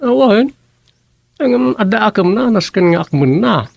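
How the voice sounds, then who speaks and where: A man's voice: sits high, expressive, measured pace, vocal fry, dry recording, heavily acted. A man playing a bossy, talkative townsman with a scolding, gossipy manner in a radio comedy-drama. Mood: scolding, advising.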